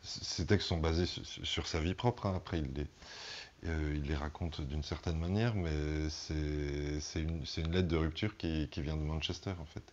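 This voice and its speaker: A man with a very low-pitched voice talks as a musician in a relaxed interview. He feels his way through his explanations, pausing and restarting as he looks for the right word. The sound is somewhat dull and lo-fi. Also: slight background noise. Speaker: band musician being interviewed.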